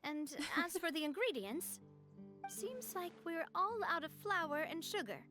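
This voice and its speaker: A woman's voice: very high voice, very animated, moderate pace, faint music behind, heavily acted. A woman voicing a cheerful, gracious young noblewoman who leads a gang in a game. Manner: warm, gracious, upbeat.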